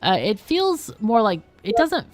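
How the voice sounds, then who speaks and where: A woman's voice: a little high, big pitch swings, a little slow. A woman hosting a game livestream, chatting casually and frankly as she plays and talks with guests. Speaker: livestream host playing a game. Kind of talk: casual live game commentary and chat.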